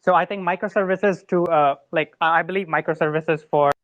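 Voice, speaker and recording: A man putting technical questions casually, in a halting way. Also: fairly high pitch, steady tone, a bit bright, highs cut off, a little hiss or hum.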